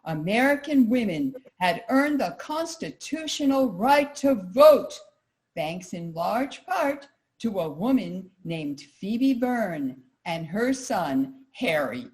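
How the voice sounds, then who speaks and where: A woman performing theatrical scripted narration as part of a reader's theater, with a dramatic, deliberate delivery. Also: mid-range voice, big pitch swings, darkish tone.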